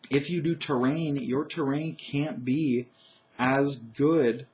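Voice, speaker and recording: A man with a naturally loud voice talking alone about tabletop gaming, emphatic and opinionated, with frequent "uh"s and restarts. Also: medium pitch, fairly even intonation, slow pace, dull audio. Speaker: gaming commentator. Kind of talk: solo opinion monologue.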